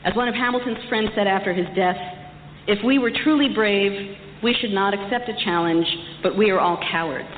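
A woman's voice: medium pitch, fairly quick. Woman lecturing in a very echoey hall. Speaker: lecturer, historian speaker. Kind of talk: prepared public lecture to an audience. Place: large reverberant hall. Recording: echoey hall, dull distant sound, faint noise.

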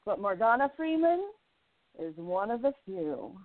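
An arch woman with a lively, bouncing voice who savors every juicy detail of her gossip column. The recording is narrow and phone-like. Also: medium pitch, a little slow, no room sound. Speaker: narrator and lead player, gossip columnist. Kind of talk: radio play narration and acting.

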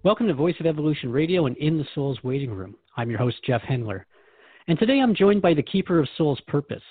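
A man's voice: fairly high pitch, expressive, quick pace, slightly rough, faint music behind. A man hosting a radio show in an earnest, inquisitive, conversational manner, recorded dry and somewhat muffled.

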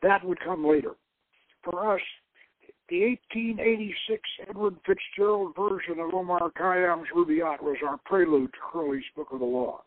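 A man acts out an intellectual, romantic young woman recounting her life. The voice sits high and speaks in a measured, reflective way, as in an audio novel. It comes dry through a tinny, narrow phone-like line. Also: dry recording. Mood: reflective, wistful. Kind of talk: dramatic reading of an adventure novel.

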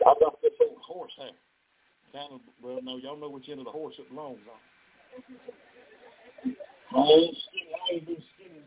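Southern man, revival preacher, high voice, folksy calls to the crowd, in a tent, tinny phone-like sound. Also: huge pitch swings, normal speed, creaky, pauses often, breathy edge. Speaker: pastor. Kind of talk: revival sermon to a live congregation. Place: revival tent near a residential street. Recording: tinny phone-line live stream.

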